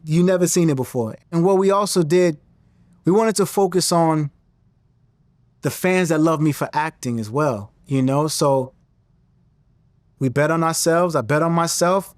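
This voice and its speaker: A man, talking in an interview in a confident, animated way and often restarting his sentences. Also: on the high side, a little slow, long pauses, no room sound. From Nigerian-American. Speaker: interview guest, singer and actor. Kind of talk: casual interview talk.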